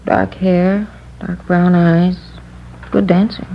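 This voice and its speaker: An actress playing a chatty, distracted market counter clerk in a naturalistic 1950s radio drama, speaking casually and haltingly. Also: female voice, fairly low pitch, flat delivery. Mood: casual, recalling. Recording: dull, faintly noisy old radio recording.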